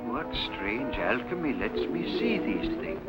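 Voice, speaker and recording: An actor playing an awestruck, courteous Renaissance inventor in a theatrical, formal, wondering manner, in the style of a 1950s TV sci-fi serial. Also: male voice, medium pitch, very animated, unhurried, loud music behind the voice. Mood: amazed, disbelieving.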